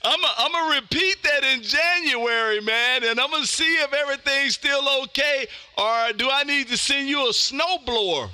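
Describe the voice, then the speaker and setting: An American man hosting a ham radio stream, talking casually in a loud, animated, slangy way. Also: very high voice, normal speed, hardly any pauses, slight background noise. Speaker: amateur radio operator hosting a live stream. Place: home radio room.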